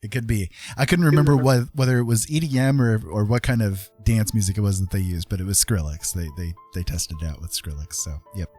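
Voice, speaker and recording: A man's voice: fairly low pitch, fairly quick, few pauses, darkish tone. A man hosting a casual livestream, chatting in an easygoing, rambling, jokey way. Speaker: livestream host and digital artist. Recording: music playing behind the talk. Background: background music.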